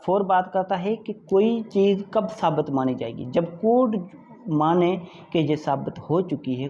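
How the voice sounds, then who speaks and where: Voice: mid-range voice, mellow. A lecturer teaches informally for exam students, explaining point by point, repeating key ideas and often checking understanding. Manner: repetitive, checks understanding often with tag questions; switches into English to read out legal definitions slowly. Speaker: law lecturer. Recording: dull, muffled audio with background noise.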